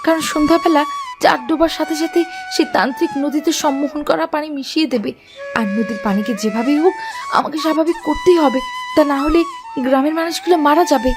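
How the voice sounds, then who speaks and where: Woman voicing a high-pitched, earnest village girl. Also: fairly quick, over music, natural voice. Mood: determined. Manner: earnest, dramatic. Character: brave, pleading young village girl, dutiful daughter. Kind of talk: Bengali fairy-tale cartoon dubbing.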